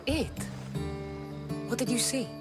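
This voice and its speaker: An actress playing a confident young Greek mercenary in a video game, tough, dry and self-assured. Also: female voice, fairly high pitch, lively intonation, takes it slowly, loud music behind the voice. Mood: wry, direct. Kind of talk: video game character acting.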